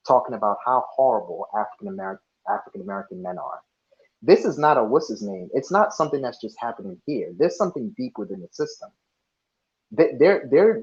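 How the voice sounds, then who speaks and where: A man's voice: mid-range voice, a little mellow. A young man born in Ghana and raised in the States, talking earnestly as a guest on an online panel, often restarting his sentences. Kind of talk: casual online panel discussion. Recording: dull lo-fi audio.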